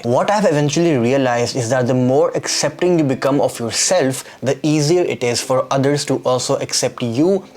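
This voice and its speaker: A man, talking earnestly and reflectively in a scripted vlog, asking rhetorical questions. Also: mid-range voice. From Pakistan. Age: 20s. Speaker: YouTube creator.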